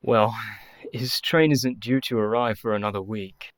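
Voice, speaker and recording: An actor playing an earnest, devoted young Victorian doctor in a radio play, speaking formally. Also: male voice, on the low side, fairly even intonation, unhurried, close to an everyday voice.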